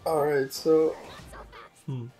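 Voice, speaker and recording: A man's voice: a little high, fairly even intonation, slow pace, dark tone, soft background music. A young man whose first language is French, chatting casually and rambling over gameplay as host of a gaming channel. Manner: chatty, rambling, repeats words.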